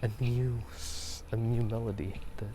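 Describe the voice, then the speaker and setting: A man talking softly in a casual, rambling ASMR style, often slipping into a breathy whisper. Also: mid-range voice, little pitch movement. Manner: hushed, halting, unhurried. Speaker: ASMR video host. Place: outdoors at night, walking near a park. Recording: clearly noisy outdoor ambient sound. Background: outdoor ambience.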